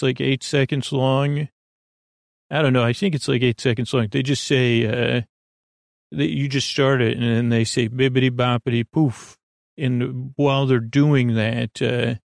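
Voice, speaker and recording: Meandering man with a creaky voice. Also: medium pitch, no echo, studio quality. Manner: meandering, halting, trails off into tangents and self-questioning. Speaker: podcast host. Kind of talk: rambling monologue for a sleep podcast.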